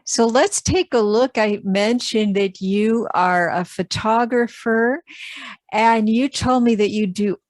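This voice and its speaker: Woman, podcast host, slow measured talk, effusive praise, dry close sound. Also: fairly high pitch, no room sound. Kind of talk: interviewing a guest.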